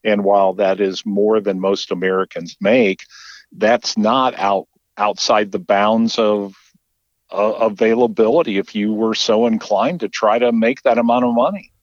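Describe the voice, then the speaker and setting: Low-voiced guy co-hosting on radio, agreeable, kinda muffled dry audio. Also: fairly even intonation, measured pace, no room sound. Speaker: radio co-host. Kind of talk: casual radio talk. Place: radio studio.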